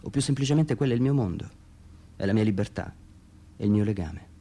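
A man reading his own literary text as a radio theatre monologue, introspective and halting, with pauses between short phrases. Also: on the low side, expressive, mellow.

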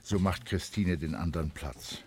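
A man narrating a literary radio play from a script in a measured, solemn manner. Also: deep voice.

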